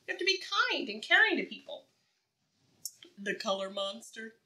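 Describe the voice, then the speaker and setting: A woman preschool teacher doing an interactive read-aloud for young children, asking questions in an encouraging, sing-song way and pausing for answers. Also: fairly high pitch, very animated, sharp tone.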